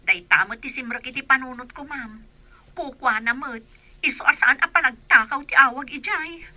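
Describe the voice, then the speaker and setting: Woman as a bright, high-pitched grumbling housemaid. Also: moderate pace, no echo, exaggerated voice. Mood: complaining. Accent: Ilocano Filipino. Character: nosy, indignant housemaid, loyal to her employer. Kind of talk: comedy radio drama acting.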